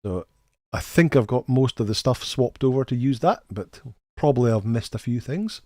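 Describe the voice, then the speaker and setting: A man's voice: medium pitch, expressive, moderate pace, warm tone, no room sound, clean studio sound. A middle-aged man hosting a casual live game stream, greeting viewers in a chatty, friendly way and often repeating the first words of a sentence. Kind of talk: casual live stream chat, reading chat names.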